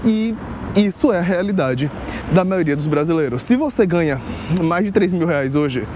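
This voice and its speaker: A man's voice: high-pitched, expressive, moderate pace. A young man from Salvador, casually explaining to his vlog audience in a direct, conversational way. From Salvador, Northeast Brazil. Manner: conversational, direct address with rhetorical asides. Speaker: vlogger on life abroad. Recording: street noise behind.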